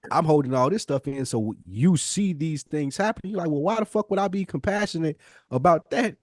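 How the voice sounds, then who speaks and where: A man's voice: fairly high pitch, animated, fairly quick, no room sound, studio quality. An American man in casual podcast conversation, speaking emphatically in slang and acting out imagined dialogue. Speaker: podcast co-host.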